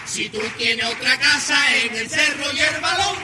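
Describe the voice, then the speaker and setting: A man's voice: extremely high pitch, animated, moderate pace, very noisy. A man with a Cádiz accent from Andalusia, performing comic carnival verses in a theatrical, declamatory rhyming delivery, part sung and part recited. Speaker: singer in a comic carnival quartet.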